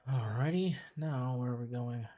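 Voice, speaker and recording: A man's voice: medium pitch, normal speed, highs cut off. A man casually commentating as he streams a video game.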